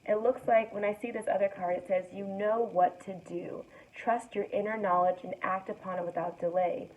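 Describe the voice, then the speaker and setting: A young woman with a raspy morning voice, giving a relaxed, soothing tarot card reading to camera as the host. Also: mid-range voice, moderate pace. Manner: relaxed, soothing, guides breathing. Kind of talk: casual spiritual reading to camera. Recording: tinny distant mic with faint noise.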